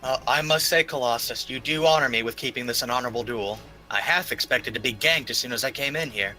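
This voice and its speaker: Man playing a bold, bright-voiced cocky hero. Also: medium pitch, fast talker, soft background music, rough recording. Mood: cocky, respectful. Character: bold, cocky hero duelist, taunting yet respectful.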